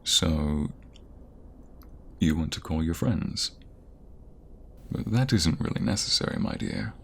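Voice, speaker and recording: Guy playing a possessive firefighter rescuer in an audio roleplay, really deep creaky voice, coaxing and pushy, some noise behind. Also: sing-song, slow pace, exaggerated voice. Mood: coaxing, insistent. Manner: coaxing, softly insistent, hesitant stammers. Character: male firefighter, obsessive captor posing as caring rescuer. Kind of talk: intimate audio roleplay acting.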